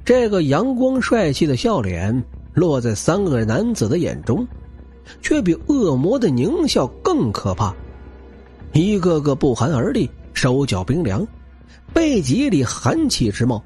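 A man's voice: fairly high pitch, wildly swooping pitch, a little slow, a little mellow. A man narrating an audiobook novel in a dramatic, scripted storytelling style, with music behind him. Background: background music.

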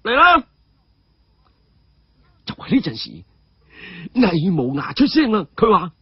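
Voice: mid-range voice, sing-song, moderate pace, slightly rough, dry recording. A storyteller with a Cantonese accent narrating a martial-arts tale in a dramatic audiobook style. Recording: dull, muffled audio.

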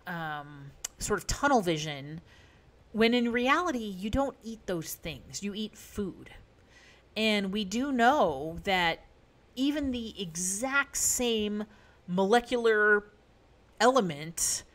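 A woman's voice: medium pitch, fairly bright tone. A woman physician hosting a solo podcast, explaining nutrition science in a conversational way with many fillers and emphatic asides.

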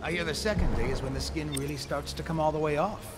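Actor as a mocking guard, loud music. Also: male voice, fairly high pitch, quick pace, natural voice. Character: taunting, cruel male guard. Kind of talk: video game dialogue. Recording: loud music over distant in-game voice.

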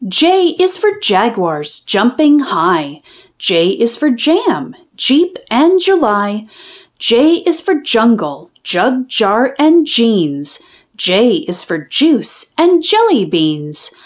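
Slow, lilting woman reading to children. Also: on the high side. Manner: expressive, deliberate, sing-song phrasing for preschoolers. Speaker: children's read-aloud storyteller. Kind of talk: scripted picture-book read-aloud for young children.

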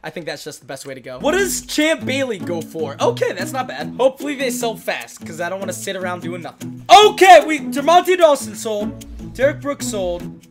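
A young man in his twenties, hoarse from losing his voice, giving excitable casual commentary while opening packs in a video game. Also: high-pitched, moderate pace. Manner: excitable, hyped outbursts. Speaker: gaming YouTuber. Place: small room at a desk. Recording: music playing behind the voice.

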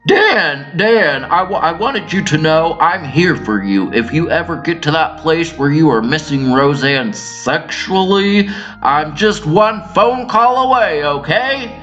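Man playing a brazen, high-voiced flirty granny. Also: lively intonation, slow pace, a bit bright, dull audio, soft background music. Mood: flirtatious. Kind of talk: amateur cartoon sitcom parody.

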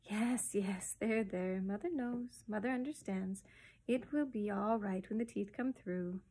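A woman's voice: on the high side, sing-song, moderate pace. Woman playing a fond, fussing storybook mother. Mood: soothing. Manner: gushing, soothing, crooning. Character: fond, fussing, cooing mother of baby twins. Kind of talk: children's storybook reading.

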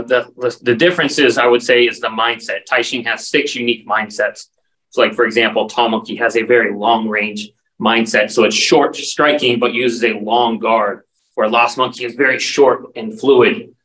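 A man's voice: mid-range voice, steady tone, moderate pace, fairly bright tone. A man in his forties, a martial arts instructor, explaining his training earnestly as a guest on a video call interview. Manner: earnest, explanatory, lists terms steadily. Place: dim indoor room. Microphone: video call, standing back from camera. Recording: distant video call audio.

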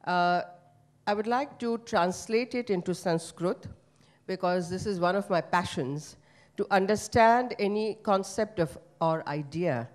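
Older woman, Gujarati native, unhurried panel remarks on handheld mic in a hall. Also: fairly low pitch. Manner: conversational, with many fillers and Sanskrit terms. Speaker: panel speaker. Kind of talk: panel remarks at a book launch. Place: conference hall with an audience. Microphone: handheld microphone.

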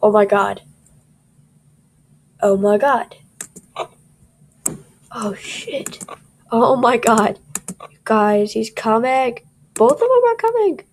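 Slow-talking young woman with a scratchy voice. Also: a little high, expressive. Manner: jittery, swears often, talks to viewers. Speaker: gameplay commentator. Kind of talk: casual gameplay commentary.

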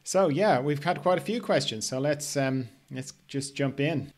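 A man's voice: a little high, a little slow, darkish tone. An older man, easygoing and playful, casually hosting an interview and reading out questions on a video call. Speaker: podcast host, interviewer.

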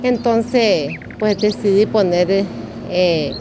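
A woman's voice: mid-range voice, slow pace, noisy background. A middle-aged woman with a Nicaraguan accent giving casual interview answers as a restaurant owner. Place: open-air roofed roadside restaurant. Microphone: handheld microphone on table in front.

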